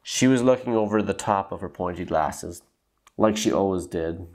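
A man in his thirties reading a children's story aloud with dramatic, deliberate emphasis and stretched words. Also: sits low, darkish tone. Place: small room at home, indoors.

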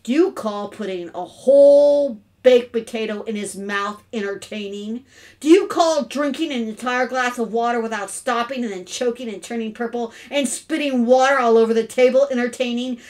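A woman's voice: a little high, normal speed, a little mellow, lightly acted. A woman voicing an angry, grumpy father in a children's storybook, emphatic and indignant. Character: angry, exasperated grumpy father, adult man. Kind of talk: children's storybook read-aloud.

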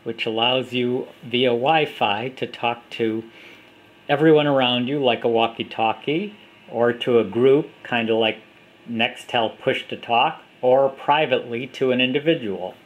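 Man, mid-pitched, casual app demo, steady and unhurried, camera mic indoors. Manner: plain, unhurried walkthrough with fillers. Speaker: app developer demonstrating his app. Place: indoors at a table of phones.

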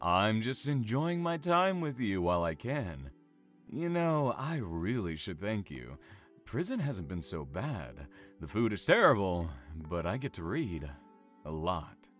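A man playing an imprisoned killer in a horror audio drama, low-voiced and slow. He taunts and teases with smug, obsessive menace, drawing out his words over a musical backdrop. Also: very animated, soft background music. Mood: playful, gloating. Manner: smug, teasing, drawn-out menacing delivery.

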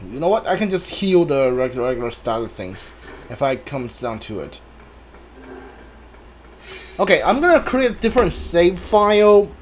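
A man's voice: a little high, very animated, normal speed, pauses often. A man giving casual live playthrough commentary, rambling hesitantly as he thinks aloud. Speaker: game streamer. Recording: faint background noise from game ambience. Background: video game ambience.

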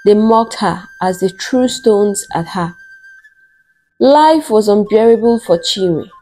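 A woman tells a folktale in a soft-edged, mellow voice that sits a little high. She reads at a slow, deliberate pace, like a scripted storyteller, with light music playing behind her. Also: rough recording.

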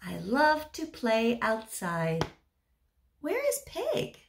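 A woman's voice: on the high side, wildly swooping pitch, drawn-out words, vocal fry, a little hiss or hum. A woman in her thirties reading a picture book aloud to young children as a teacher, explaining simply and putting questions to her listeners.

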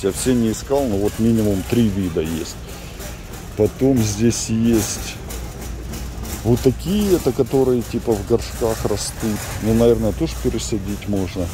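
A man's voice: lowish voice, moderate pace, noisy background. A middle-aged man chatting casually to viewers while filming in a large warehouse store. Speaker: vlogger. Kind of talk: casual vlog chat while shopping. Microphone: handheld camera.

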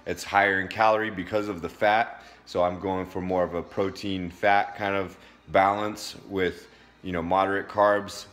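A man in his thirties with a fairly low voice talks casually into his phone at home. He explains things step by step in an informal, plain-spoken way, as a fitness vlogger would in his own kitchen. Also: flat delivery, normal speed, a bit bright. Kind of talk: casual how-to talk to camera. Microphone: phone at arm's length.

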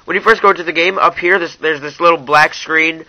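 A man's voice: on the high side, steady tone, fairly quick, no room sound, lo-fi sound. A young man narrating a casual, hands-on app review in an informal way, talking straight to his viewers. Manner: informal, addresses viewers as you guys.

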